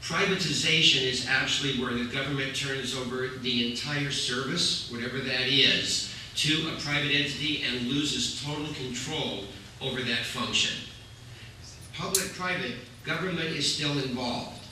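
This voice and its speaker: A man speaking as a city council candidate at a public forum, with a loud, brisk, explanatory delivery. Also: mid-range voice, steady tone. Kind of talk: forum answer to a question. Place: community center meeting room. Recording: echoey room from far off, faint noise.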